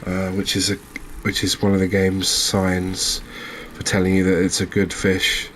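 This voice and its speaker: A man's voice: low-pitched, completely flat delivery, unhurried, a bit bright, slight background noise. A man hosting a cozy ASMR gaming video, commentating on his play in a rambling, musing way with mild exclamations. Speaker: gaming channel host.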